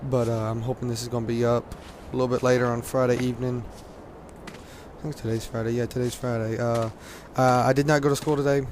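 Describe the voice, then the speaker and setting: Hoarse teen gamer, loose rambling delivery, faint noise floor. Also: male voice, medium pitch, level tone, fairly quick. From Louisiana, US. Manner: rambling, laughs often, many fillers. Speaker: gaming commentator. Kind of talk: casual gaming commentary.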